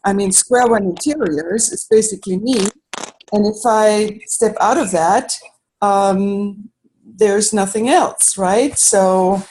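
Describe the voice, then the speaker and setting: A woman's voice: mid-range voice, slow, a little mellow, rough recording. A woman from Germany, an interior designer, asking a question on a group call in a thoughtful, hesitant way. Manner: thoughtful, hesitant, searching for words.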